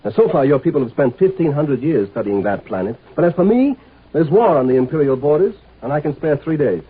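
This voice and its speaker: An actor playing a curt, commanding galactic marshal in a 1950s radio drama, impatient and authoritative. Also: male voice, a little high, expressive, fairly quick, slightly rough. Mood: brusque, impatient. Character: brusque, commanding male galactic military marshal. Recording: noisy, tinny 1950s radio recording.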